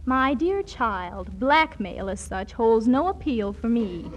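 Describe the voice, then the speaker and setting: A woman's voice: a little high, expressive, slow pace, slight background noise. An actress playing a precocious, blunt girl scientist who uses big words and dry wisecracks in a 1940s radio comedy. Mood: dry, superior.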